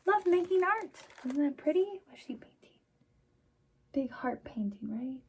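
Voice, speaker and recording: A woman's voice: sits high, animated, a little slow, a little mellow. A woman hosting a children's story time, reading aloud and talking to young listeners in a sing-song, encouraging, exaggerated way. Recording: clear background noise.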